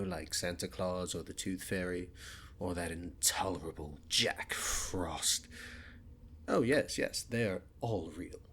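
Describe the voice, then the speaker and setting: A haughty, menacing male shadow spirit in an ASMR villain roleplay, speaks with a British accent. His delivery is slow and theatrical, swooping widely from hushed to booming. Some background noise sits under the voice. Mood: condescending, wry.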